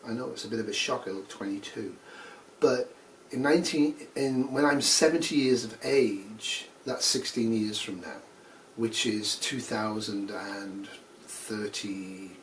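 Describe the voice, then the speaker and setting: A man's voice: lowish voice, unhurried. A man in his fifties lays out course rules in a blunt, dry way, tossing in sarcastic asides. He sounds a little far from the microphone. Faint noise hums behind him. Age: 50s. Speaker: nursing instructor. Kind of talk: recorded course announcement.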